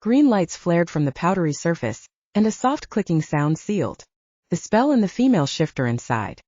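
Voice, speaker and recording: A woman narrates a novel in a mellow, fairly low voice with very animated intonation. The recording is dry and slightly dull, with little room sound. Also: normal speed, no room sound. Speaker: audiobook narrator. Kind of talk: scripted fiction narration.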